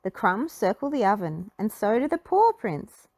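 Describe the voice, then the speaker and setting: A woman's voice: medium pitch, sing-song, normal speed, a little mellow, no room sound. A woman voicing a clever, matter-of-fact teenage girl detective who explains clues decisively in a children's storybook. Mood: inquisitive.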